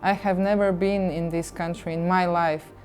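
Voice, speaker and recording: A woman born in Latvia whose first language is Russian, answering interview questions as a party representative in an earnest, deliberate way. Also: on the low side, little pitch movement, darkish tone, faint music behind.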